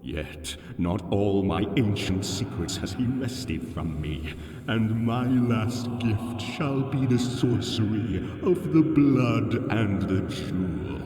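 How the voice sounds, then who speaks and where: An actor playing an ancient, enslaved alien god in a fantasy audio drama, solemn and sorrowful, with grandiose archaic phrasing. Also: male voice, fairly low pitch, warm tone. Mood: solemn, pleading. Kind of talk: full-cast fantasy audio drama monologue. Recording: cavernous echoing reverb, distant, music underneath. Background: music.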